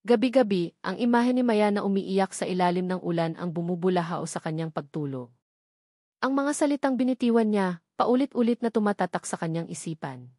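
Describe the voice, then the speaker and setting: A woman narrates a sentimental romance from a script. Her voice sits at an ordinary middle pitch, and the recording is very dry, with little room sound around it. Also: expressive, a little fast, warm tone, no echo. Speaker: story narrator.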